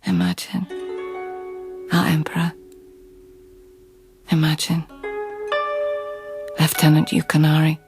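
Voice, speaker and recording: Low, slow, dreamy court lady. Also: flat delivery, creaky, heavily acted. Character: reflective court lady narrator in old Japan. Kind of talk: radio drama narration. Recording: plucked-string music behind the voice.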